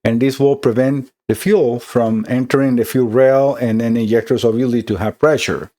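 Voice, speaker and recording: A middle-aged man with a Costa Rican Spanish accent talks through an electronics repair step by step. His delivery is conversational and full of fillers and restarts, set at an average pitch for a man. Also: steady tone, moderate pace, dark tone. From Costa Rica. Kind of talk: explaining a repair walkthrough.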